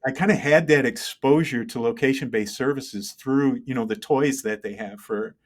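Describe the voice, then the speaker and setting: A middle-aged man answers as a guest in a conversational interview. His voice sits at a medium pitch. He talks haltingly, thinking aloud as an engineer and entrepreneur would when explaining, and often checks that the listener follows. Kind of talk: conversational interview, telling career story.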